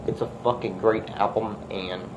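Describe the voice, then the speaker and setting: A man with a low, stuffy, congested voice from a winter cold gives an earnest, rambling album review. His delivery barely rises or falls and keeps circling back to the same points. A steady noise hum sits under the recording. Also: moderate pace, highs cut off. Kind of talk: casual music review vlog.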